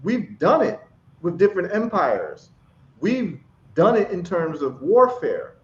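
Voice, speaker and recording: A man's voice: sits high, sing-song, a little slow. A man from Ghana, a guest in a casual chat, talking with passion and repeating phrases for emphasis. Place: live stream call. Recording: echoey, dull lo-fi stream audio.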